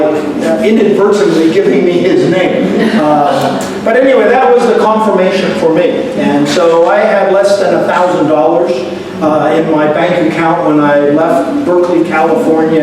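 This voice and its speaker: A man in his sixties tells his story to a retreat audience, joking lightly between many small fillers. His fairly high voice carries from a distance through a very echoey hall with a clear hum of room noise behind. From Taiwan. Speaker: missionary speaker. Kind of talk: retreat testimony talk. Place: church hall.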